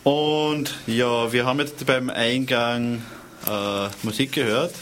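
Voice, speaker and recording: A man hosting a community radio talk show, relaxed and chatty with light hesitations. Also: mid-range voice, slow pace, a little hiss or hum. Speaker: radio host. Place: radio studio.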